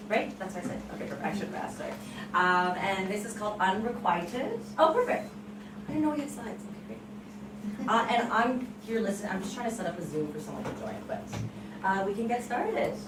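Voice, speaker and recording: A woman's voice: medium pitch, lively intonation, fairly quick. A woman casually introducing an event as the host of a table read. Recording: roomy, distant mic, clear background noise.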